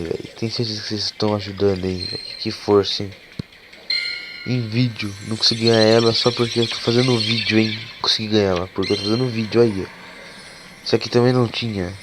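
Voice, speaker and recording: Hoarse low-voiced man, with game music. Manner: casual and swearing, excitable when cards are revealed. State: hoarse from a cold. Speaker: gaming YouTuber. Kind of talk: casual gameplay commentary. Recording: game music playing behind the voice.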